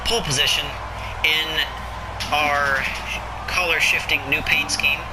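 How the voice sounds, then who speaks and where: A man's voice: on the high side, fairly even intonation, measured pace. Young man commentating his sim race. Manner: self-deprecating running commentary on his own driving. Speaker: sim racing streamer. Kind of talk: live gameplay commentary. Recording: loud noise of racing game audio behind. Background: engine noise from the racing game.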